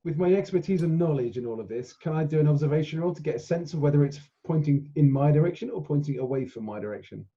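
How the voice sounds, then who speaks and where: Very mellow, husky male game player. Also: on the high side, lively intonation, quick pace, few pauses, muffled recording. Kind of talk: casual in-game chat.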